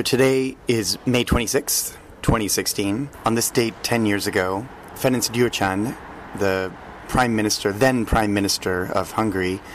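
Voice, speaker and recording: Hoarse lowish-voiced male podcast host. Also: fairly bright tone, slight background noise. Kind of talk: scripted intro and interview.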